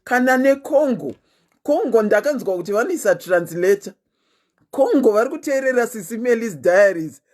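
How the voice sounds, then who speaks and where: A woman with a Zimbabwean accent, hosting her show in a chatty, casual monologue, telling listeners her news with lots of "eh" fillers. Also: medium pitch. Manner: animated, chatty storytelling with frequent "eh" fillers. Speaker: host.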